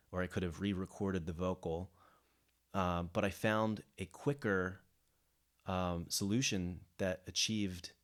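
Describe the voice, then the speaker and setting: Low-voiced man explaining a mixing technique. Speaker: music producer giving a mixing tutorial. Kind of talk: explanatory software tutorial, unscripted. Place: indoors, voice-over of a screen recording.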